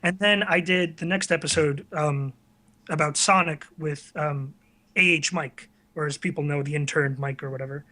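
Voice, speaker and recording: Bright-voiced, higher-pitched man telling stories. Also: fairly even intonation, normal speed. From US tri-state area. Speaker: podcast guest, online video creator. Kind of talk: casual conversational storytelling.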